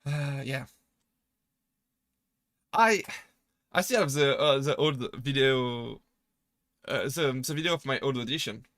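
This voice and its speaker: A man with a French accent, chatting casually on a live stream and rambling through halting restarts and self-deprecating asides. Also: mid-range voice, fairly bright tone, dry recording. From France. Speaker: VTuber streamer.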